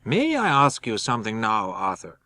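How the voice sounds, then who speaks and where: Man playing a grave, deliberate old foreign professor. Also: on the low side, wildly swooping pitch, sharp tone, no room sound, full character voice. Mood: solemn request. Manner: deliberate, halting foreign phrasing. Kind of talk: dramatic audiobook reading.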